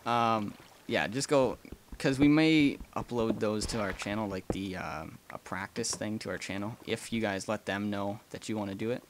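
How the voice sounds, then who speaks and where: A man's voice: fairly low pitch, normal speed, a little hiss or hum. A young man casually chatting and rambling through a let's play, with frequent ums and small laughs. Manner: rambling and chatty, many ums, gasps and laughs while playing. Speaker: gaming channel host.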